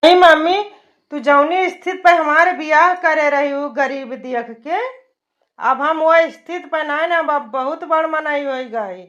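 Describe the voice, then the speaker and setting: A performer voicing an eager, bragging young village son-in-law in an Awadhi cartoon comedy, pleading and respectful, in a pitched-up voice. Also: a little slow, a bit bright. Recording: dull lo-fi cartoon soundtrack.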